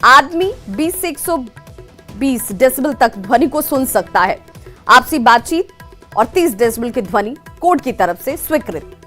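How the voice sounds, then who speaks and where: A woman's voice: sits high, animated, measured pace, fairly bright tone, soft background music. A woman anchor presenting TV news in an urgent narrating style.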